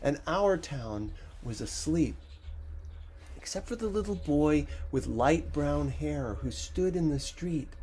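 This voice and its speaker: A man narrating a first-person story as an audiobook reader, with a measured, expressive dramatic delivery. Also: a little high, slight background noise, low-quality recording.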